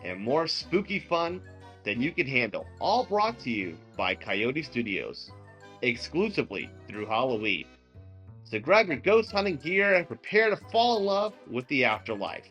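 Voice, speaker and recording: A man's voice: medium pitch, big pitch swings, unhurried, fairly bright tone, soft background music. A man reading a playful scripted promo as an announcer.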